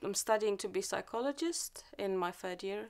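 A woman's voice: medium pitch, moderate pace, gravelly. A woman in her thirties with a Czech accent, speaking in a documentary interview at home. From Czech Republic. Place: living room at home.

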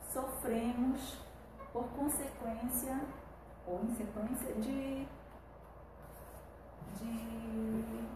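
An older woman from northern Brazil giving personal testimony as a panel guest, searching for words as she goes. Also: fairly high pitch. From Pará, Brazil; moved to Israel at sixteen. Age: older, grandmother. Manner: halting, searching for words in rusty Portuguese. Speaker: peace activist, panel guest. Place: small indoor meeting room, seated. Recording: echoey room, distant mic, clear noise.

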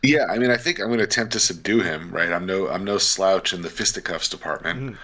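A middle-aged man with a very low, forceful voice plays a tabletop game. He talks through his moves casually, with playful, folksy wording. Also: fairly quick. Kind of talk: casual chat, describing character actions. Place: indoor home study, video call.

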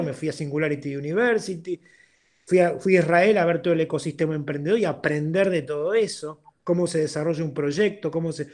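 An older man with an Argentine accent speaks in a strikingly high voice for a man. He talks informally and emphatically, like a seminar guest giving his views over a video call. He often stops to check that he is being understood. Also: a little mellow. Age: 60s. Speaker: interviewed guest, accountant turned entrepreneur. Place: indoor room at home, video call.

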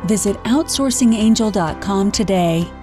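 A woman's voice: medium pitch, normal speed, dark tone, faint music behind. A woman announcer giving a scripted sponsor read.

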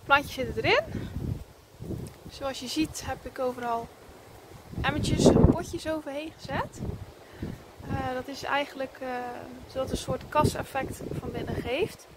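A woman, narrating a casual home vlog in a hesitant, unhurried way on a phone. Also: high-pitched. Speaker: vlogger showing her kitchen garden. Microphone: phone at arm's length. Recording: phone recording with faint background noise.

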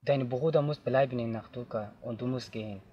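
A young man from Afghanistan, telling his own story outdoors in simple, halting learner's German. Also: mid-range voice, muffled recording. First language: not German. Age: young adult. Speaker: interviewee. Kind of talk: personal testimony. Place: outdoors in tall grass.

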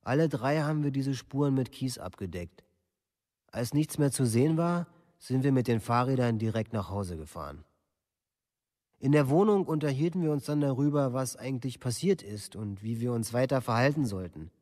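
An actor playing a young killer reciting a formal, matter-of-fact confession in a documentary radio play. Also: male voice, mid-range voice, expressive, normal speed, dark tone, no room sound, clean studio sound, natural voice. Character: young male killer giving a factual confession.

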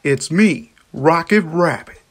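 A gruff grown-up cartoon rabbit uncle, part machine played by a woman. The voice is pushed low and moves slowly, terse, self-conscious and reluctant. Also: dry recording. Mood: stern. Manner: terse, reluctant, flat-toned. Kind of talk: amateur cartoon voice acting.

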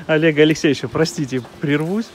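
Higher-voiced male interviewer outdoors. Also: expressive, moderate pace, background noise. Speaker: channel interviewer. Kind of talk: on-location interview hosting. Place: outdoors at a park, street-side.

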